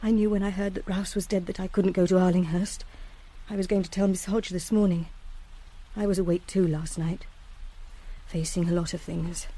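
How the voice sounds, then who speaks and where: Actress doing young student in a radio play, soft mellow voice, earnest n guilty, halting, well spoken. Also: female voice, medium pitch, lightly acted. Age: young adult. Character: earnest, guilt-ridden young woman student pleading for reprieve. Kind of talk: radio drama acting.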